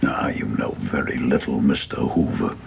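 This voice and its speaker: Very deep-voiced, probing senior official. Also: male voice, vocal fry, a little hiss or hum, heavily acted. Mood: scornful. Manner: deliberate, hesitant pauses, rhetorical questioning. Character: seasoned male government official, humane, probing, gently scornful. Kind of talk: radio play acting.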